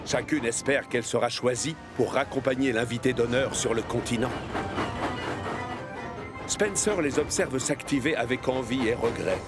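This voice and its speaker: Low-pitched man narrating over music. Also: moderate pace. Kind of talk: scripted children's story narration. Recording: music behind the voice. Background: cartoon music.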